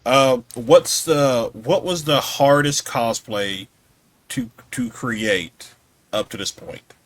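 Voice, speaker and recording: A man's voice: medium pitch, slow pace. A man hosting a casual podcast interview, asking questions in an informal, somewhat hesitant way.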